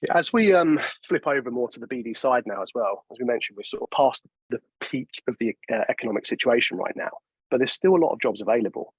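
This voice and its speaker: A man presents a webinar in an informal, explanatory way. His mid-pitched voice is a little husky, and it is recorded dry with very little room sound. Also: animated, fast talker, no echo, rough recording. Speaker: software company presenter.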